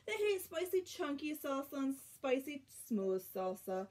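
A young woman with a fairly high voice reads a picture book to children. She is expressive, stretching key words and pausing for dramatic effect. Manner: expressive, drawn-out emphasis with dramatic pauses. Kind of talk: animated children's picture-book read-aloud. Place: small bedroom at home.

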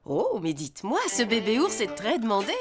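Soothing mother bear, tender, animated melody, preschool cartoon, loud music behind. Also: a little high, normal speed. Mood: tender, playful. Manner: tender, playful, reassuring.

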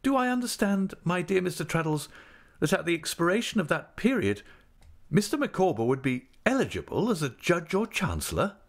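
Male reader doing a fussy, earnest wife; high, lively delivery, emphatic. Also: a little fast, exaggerated voice. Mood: insistent. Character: fussy earnest middle-aged wife, shrill and insistent.

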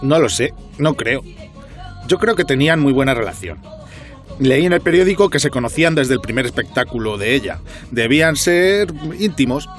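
A man's voice: mid-range voice, animated, moderate pace, soft background music, close to an everyday voice. An actor playing a chatty, observant, slightly self-important doorman in a radio drama, talking colloquially with a repeated verbal tic. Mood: resigned. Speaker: building doorman.

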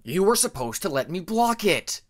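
Hot-headed young male dragon, argumentative and loud, played by a man. Raised pitch, fantasy audiobook. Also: very animated, normal speed. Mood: angry, growling.

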